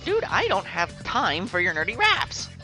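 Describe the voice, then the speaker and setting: An actor playing an excitable, worried, good-natured teenage boy who talks in exclamatory casual slang in a web audio drama. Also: sits high, a little slow, over loud music, exaggerated voice. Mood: exasperated.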